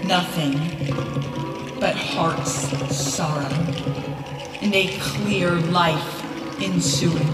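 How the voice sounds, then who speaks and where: A very slow, echoing vengeful spirit. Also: female voice, lowish voice, steady tone. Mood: solemn, accusing. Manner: slow, drawn-out, incantatory cackling menace. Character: vengeful spirit minister of fate, mocking and menacing. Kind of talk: Shakespearean radio play acting. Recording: echoing, distant voice under loud music.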